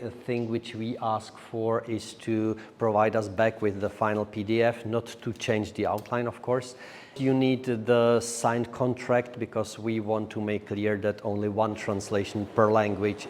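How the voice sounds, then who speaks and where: A man giving a semi-formal conference presentation as a project speaker, with a halting, hesitant delivery. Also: mid-range voice, darkish tone, a little hiss or hum. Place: large echoey indoor hall. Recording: echoey hall with long reverb.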